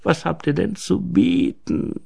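A man voicing a sly, easily offended male forest gnome in a storybook, with a theatrical, wheedling, drawn-out delivery. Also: high voice, animated, vocal fry, darkish tone, breathy edge, voice pushed up, heavily acted. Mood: sly, bargaining.

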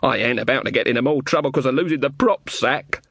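A man's voice: mid-range voice, fast talker, gravelly, a bit bright, slightly rough, dry recording. Man doing a whining, fearful village man. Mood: tearful wailing. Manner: wailing, moaning, ungrammatical rustic speech. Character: Carter, whining, fearful, tearful village folk dancer.

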